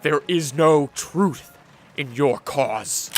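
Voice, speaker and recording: An actor playing a brooding, weary vampire nobleman in a radio drama, speaking with solemn intensity in a gravelly voice. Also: on the high side, soft background music. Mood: defiant, intense. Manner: slow, intense, solemn delivery.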